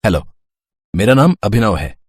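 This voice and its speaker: A man's voice: lowish voice, very animated, moderate pace, breathy edge, no echo, studio quality. A man playing an easygoing, kindly young city student in a story, reassuring and casual. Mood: calm.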